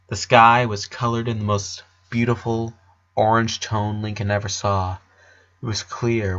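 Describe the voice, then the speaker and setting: Bright, forceful male story narrator. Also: mid-range voice, lo-fi sound.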